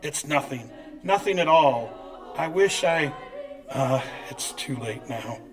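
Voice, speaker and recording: A man's voice: fairly high pitch, animated, takes it slowly, music in the background. A man playing an anxious, wistful old miser in old-time radio drama style, stammering and exclaiming. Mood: sorrowful, regretful.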